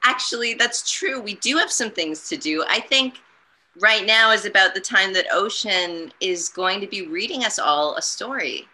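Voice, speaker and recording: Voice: medium pitch, expressive, normal speed, bright, forceful tone, low-quality recording. A woman's voice hosting a children's storytime with casual, enthusiastic, playful banter. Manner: enthusiastic, exclaiming.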